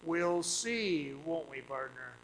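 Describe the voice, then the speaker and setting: Man acting a high-pitched sinister storyteller, slow and eerie. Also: expressive, a little hiss or hum. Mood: quietly menacing. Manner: menacing, taunting, confiding, addressing the listener. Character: sinister storytelling man, eerie devotee of an underground fire cult. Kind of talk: radio drama monologue. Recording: loud music bed under the voice. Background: loud dramatic music.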